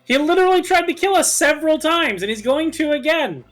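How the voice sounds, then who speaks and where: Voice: sits high, expressive, brisk. A player chatting casually with friends during a game, explaining things in a matter-of-fact way. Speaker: gaming commentator and player. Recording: game music under the voice. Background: video game music.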